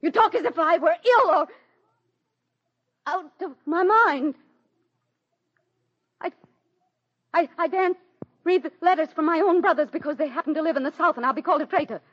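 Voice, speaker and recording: An actress playing a proud, embattled president's wife in a formal, theatrical 1940s radio drama style. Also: female voice, extremely high pitch, moderate pace, long pauses. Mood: distraught, angry. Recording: muffled vintage 1940s radio recording.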